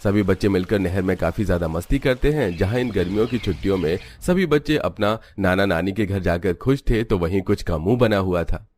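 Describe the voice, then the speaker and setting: Low-pitched man narrating a story. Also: lively intonation, fairly quick, few pauses, darkish tone, a little hiss or hum. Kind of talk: scripted Hindi family-story narration.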